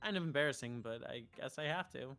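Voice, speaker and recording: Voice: medium pitch, fast, a bit bright. A streamer of androgynous voice playing a visual novel, casually bantering with wisecracks and swearing between lines read aloud. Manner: wisecracking, profane. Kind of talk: casual gameplay banter mixed with reading dialogue aloud. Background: game music.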